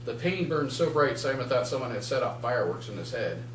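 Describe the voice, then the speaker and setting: The recording is roomy, with a faint hum of noise. A man with a middle-pitched voice reads dark fiction aloud like an author at a live event. He delivers it in deliberate, short phrases. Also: expressive, distant mic. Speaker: author reading from own novel. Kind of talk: live dramatic prose reading. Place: indoor bookshop reading event.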